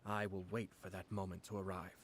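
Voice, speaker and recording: A man voicing a composed young noble official in a video game, speaking in a measured, formal, unhurried way. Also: deep voice, level tone, slight background noise. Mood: calm.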